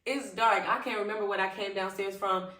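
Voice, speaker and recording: A woman in her thirties co-hosting a podcast in casual chat, telling stories with lively quoted dialogue. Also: medium pitch, fairly quick. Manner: animated storyteller who acts out quoted dialogue. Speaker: podcast co-host. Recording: echoey room, voice a bit far from the mic, faint background noise.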